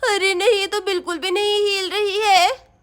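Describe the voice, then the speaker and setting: A woman voicing a flustered neighbor woman who rushes to help and reassures. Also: extremely high pitch, flat delivery, fairly bright tone.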